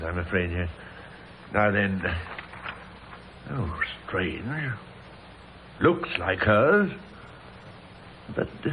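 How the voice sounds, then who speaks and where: An actor playing a genteel, concerned brother in an old radio drama, speaking formally and precisely with some hesitation. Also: male voice, mid-range voice, a bit bright, poor audio. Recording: hissy vintage radio recording.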